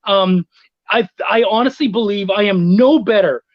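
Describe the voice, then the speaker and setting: A man with a notably high-pitched voice chats casually as a guest. He speaks in an informal, slangy way. The recording is dry and somewhat dull, like lo-fi call audio. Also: moderate pace, no echo. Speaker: guest, comics writer and editor. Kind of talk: casual video chat.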